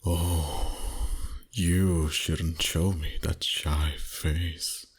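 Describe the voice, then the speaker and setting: A man voicing a dominant, flirty vampire boss in an intimate ASMR roleplay, teasing slowly in a husky voice that often drops to a whisper. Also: sits low, little pitch movement, full character voice. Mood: hungry, seductive. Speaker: voice actor. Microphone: close microphone.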